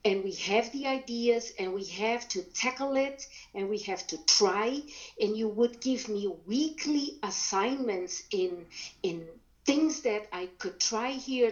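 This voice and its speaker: A middle-aged woman speaks slowly and earnestly, her voice sitting a little high. She pauses to search for words and restarts her sentences. The recording sounds dull and muffled. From Brazil. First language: German. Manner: earnest, thoughtful, with restarts and fillers. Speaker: guest, painter. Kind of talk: interview conversation.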